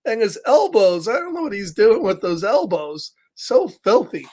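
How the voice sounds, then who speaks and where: A man's voice: high-pitched, big pitch swings, moderate pace, warm tone. Playful man reading aloud to children. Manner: playful, chatty, breaks off to greet viewers. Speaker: children's storyteller. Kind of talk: casual interactive read-aloud of a picture book.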